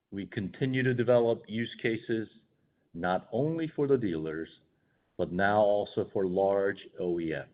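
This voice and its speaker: Male executive, low-pitched, formal and measured scripted investor-call read, slightly muffled band-limited audio. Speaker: company executive. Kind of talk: scripted earnings call remarks.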